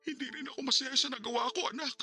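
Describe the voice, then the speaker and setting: A man's voice: very high voice, fairly even intonation, rapid-fire, bright, forceful tone, husky, soft background music, exaggerated voice. A man acting a remorseful middle-aged father in a Filipino radio drama, apologetic and pleading, with a Filipino accent.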